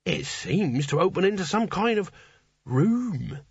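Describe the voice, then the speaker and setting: An eager young would-be hero from a comic fantasy audiobook, acted out by a man. His voice sits high and moves about animatedly. He is polite, earnest and a touch tentative, with a husky edge. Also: sing-song, unhurried, dry recording, lo-fi sound, heavily acted. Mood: puzzled. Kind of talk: audiobook character dialogue.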